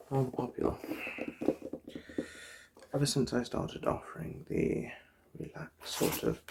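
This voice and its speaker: A soft-spoken, often whispering person doing an ASMR eye exam roleplay, chatting gently and politely without hurry, close to the microphone. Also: medium pitch, gravelly, background noise. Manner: gentle, unhurried, polite chatty bedside patter. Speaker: ASMR performer playing an eye doctor.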